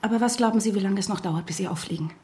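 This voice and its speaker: Schauspielerin als verzweifelte, misstrauische Ehefrau, Geräuschkulisse im Hintergrund. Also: female voice, mid-range voice, very fast, dark tone, slight background noise, lightly acted. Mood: cold, suspicious. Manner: intense, bitter. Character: distraught, suspicious wife of a missing man, sharp-tongued. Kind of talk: radio drama acting with inner narration.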